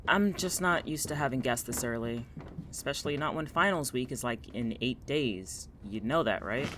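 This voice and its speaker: A guarded young male college student played by a male actor in an audio drama, sardonic and deadpan. His voice sits at a middling pitch, with a faint rumbling noise behind it. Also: moderate pace, lightly acted. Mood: guarded, dry. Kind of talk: naturalistic audio drama acting.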